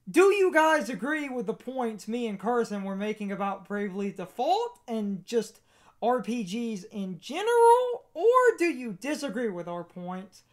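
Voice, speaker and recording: Female gaming host, animated and swinging widely in pitch, chatting casually as she comments on play.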